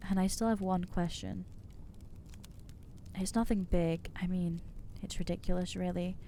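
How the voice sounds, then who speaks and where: A woman playing an anxious, newly turned vampire in an ASMR roleplay, speaking in a hesitant, earnest, halting way with gentle inner conflict. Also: fairly low pitch, fairly even intonation, creaky, slight background noise. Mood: tentative hope. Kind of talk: ASMR roleplay acting, intimate monologue.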